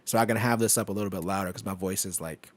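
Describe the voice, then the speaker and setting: A man with a low voice talks casually at his home desk during a live stream. He rambles and laughs often, filling gaps with fillers and restarts. His voice is close and dry, spoken into a microphone on an arm. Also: steady tone, fast, no echo. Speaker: tech streamer. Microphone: microphone on an arm through an audio interface.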